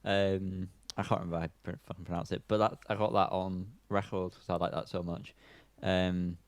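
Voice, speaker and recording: Male podcast co-host, lower-pitched, informal and slightly sweary, very dry recording. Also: flat delivery, fairly quick, dry recording. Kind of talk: casual music review chat.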